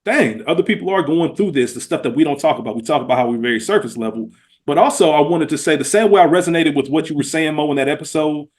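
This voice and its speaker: A man's voice: on the high side, expressive, fast. A middle-aged man telling stories in casual, slangy podcast chat, stressing points emphatically and repeating words as he goes. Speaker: podcast guest.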